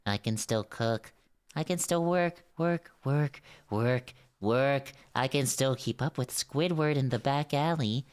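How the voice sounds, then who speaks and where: A man's voice: mid-range voice, slow pace, clear, resonant tone, voice pushed up, lightly acted. A man voicing an unhinged cartoon sea sponge, indignant and defiant, ranting obsessively. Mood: manic, defiant.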